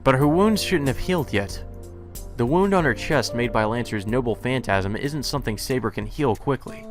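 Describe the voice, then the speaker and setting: A man's voice: medium pitch, expressive, normal speed. A man with a mid-range voice reads visual novel text aloud over game music. His delivery is casual and sweary, with some stumbling over words as he plays host. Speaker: let's player narrator.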